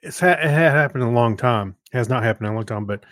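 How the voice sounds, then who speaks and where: A man co-hosting a podcast in casual chat, speaking in a halting, easygoing way. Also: medium pitch, fairly bright tone, no room sound, studio quality. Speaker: podcast co-host. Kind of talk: casual podcast chat, reading listener feedback.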